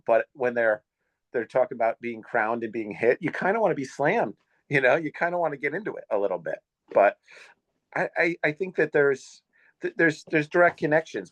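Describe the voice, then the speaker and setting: A man in his fifties hosting a podcast, talking in a casual, halting way that is full of fillers. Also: on the low side, dry recording, muffled recording. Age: 50s. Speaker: podcast host.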